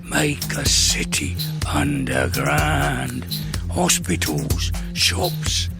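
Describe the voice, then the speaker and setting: A man plays an unhinged male survivor in an apocalyptic audio play. His voice is heavily creaky and gravelly, rambling and repeating itself in desperation. Music plays clearly underneath. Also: mid-range voice, big pitch swings, extremely slow, full character voice. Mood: manic pleading. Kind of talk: audio drama acting.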